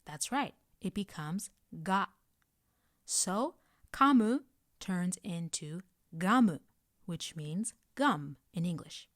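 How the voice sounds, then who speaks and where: A woman's voice: on the low side, expressive, slow pace, no room sound, clean studio sound. A woman giving a scripted language lesson as a tutor, guiding pronunciation practice with carefully enunciated examples. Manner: clear instructional delivery, carefully enunciating example sounds.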